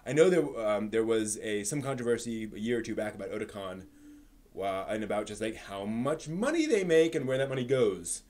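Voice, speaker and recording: Halting, casual man hosting a stream. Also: fairly low pitch, lively intonation, darkish tone. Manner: halting, rambling, repeats words. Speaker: live stream host. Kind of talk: casual live stream commentary.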